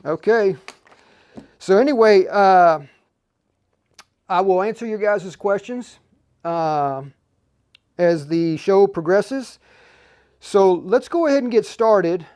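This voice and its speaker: A man's voice: high-pitched, animated, a little mellow, smooth. A man hosting a casual live tutorial, speaking informally to viewers and often restarting his phrases. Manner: halting, repeats and restarts phrases; informal, addresses viewers directly as "you guys". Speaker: livestream host and instructor.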